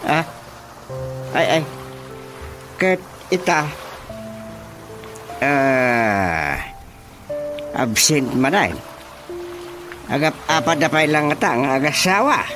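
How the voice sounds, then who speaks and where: Village chairman, Ilocano accent, flustered, stammering, slow-paced, highly animated, comic radio drama, music bed. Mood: puzzled. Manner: stammering, sputtering, full of exclamations. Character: flustered, easily amused male village chairman.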